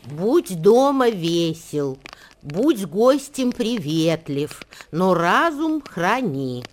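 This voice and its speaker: An actress in a radio play voicing a solemn female reciter of ancient wisdom verse, measured and declamatory in delivery. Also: fairly low pitch, big pitch swings, a little hiss or hum, full character voice. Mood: solemn, instructive. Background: music.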